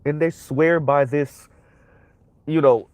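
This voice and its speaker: A man's voice: a little high, fairly even intonation, slow pace, a little mellow, no echo. A young man with a cold and a stuffy voice, hosting a livestream of emphatic social commentary full of tag questions. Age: young adult. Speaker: commentary channel host.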